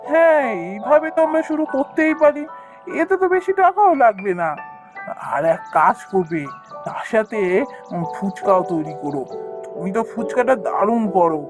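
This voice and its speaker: A woman voicing a polite, hesitant young newlywed husband in a Bengali cartoon story. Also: fairly high pitch. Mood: eager. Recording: dull cartoon audio with music.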